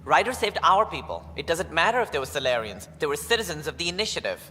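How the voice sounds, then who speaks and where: An actor playing an officious, brisk director with a precise, authoritative manner in a sci-fi video game. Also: male voice, a little high, big pitch swings, sharp tone, slight background noise. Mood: indignant. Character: officious, brisk male director of a space initiative. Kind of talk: dramatic video game cutscene acting. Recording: long hall reverb, faint music behind.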